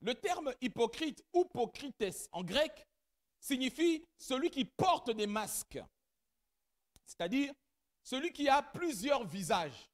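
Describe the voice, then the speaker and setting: A middle-aged man preaching a church sermon whose first language is not French, speaking animatedly and rising to loud emphatic peaks as he addresses the congregation. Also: very high-pitched, big pitch swings, slow pace. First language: not French; has another mother tongue. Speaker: pastor.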